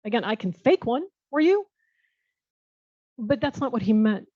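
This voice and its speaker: A woman's voice: on the high side, sing-song, normal speed, warm tone, no echo. An American woman speaking as a guest at a Q&A, answering earnestly and reflectively, often restarting her phrases. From United States. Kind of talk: conversational answers at a panel Q&A. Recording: muffled dull sound.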